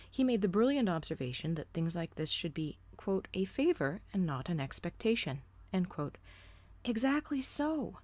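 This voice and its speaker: A woman's voice: medium pitch, a little mellow, dry recording. A woman delivering scripted opinion commentary in a blunt, sardonic manner, casually profane. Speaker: host of a solo commentary show.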